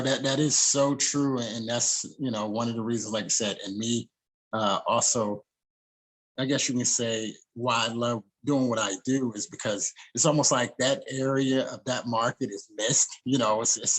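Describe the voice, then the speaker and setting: A man's voice: mid-range voice, steady tone, normal speed, husky, poor audio. A man hosting a casual interview, talking in an easygoing way with frequent "you know" fillers. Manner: easygoing, uses "you know" and "I guess you could say". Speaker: podcast host.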